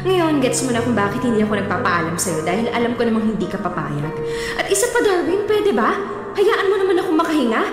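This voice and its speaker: A woman's voice: fairly high pitch, very animated, fast. An actress playing an exasperated, defiant young wife and mother in an emotional radio drama. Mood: pleading, irritated. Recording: very echoey hall with music behind.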